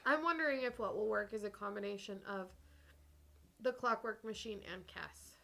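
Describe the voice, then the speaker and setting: A woman's voice: medium pitch, moderate pace, vocal fry, fairly bright tone. A woman bantering casually as a player in a tabletop game, with a flippant, dry manner.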